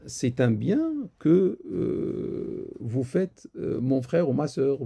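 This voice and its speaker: Hesitant man, gravelly and dark. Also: mid-range voice. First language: Arabic. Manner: hesitant, many fillers and repeated words. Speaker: imam answering questions. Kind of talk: live question-and-answer session. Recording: live stream recording.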